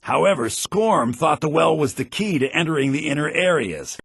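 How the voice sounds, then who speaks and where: A bossy pompous army officer for a video game, raspy bright voice, swingy pitch. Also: male voice, big pitch swings, moderate pace, no room sound, full character voice. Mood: self-important. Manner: pompous, imperious. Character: self-important, impatient military officer sending out a hireling. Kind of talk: video game character acting.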